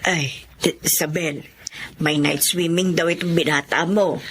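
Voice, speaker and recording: A kindly Filipino aunt, with a slightly low female voice and a reassuring, homely way of talking. There is clear ambient noise behind her. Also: fairly even intonation, measured pace, fairly bright tone. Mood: gentle, encouraging. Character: kindly, hopeful aunt, a consoling older sister. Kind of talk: Filipino radio drama acting.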